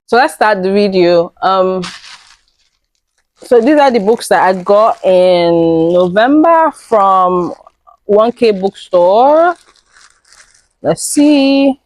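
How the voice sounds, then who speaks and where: A young woman with a raspy voice, chatting casually to camera about books she bought, rambling with fillers. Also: mid-range voice, lively intonation, slow pace, pauses often, a little mellow, no echo. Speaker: book vlogger.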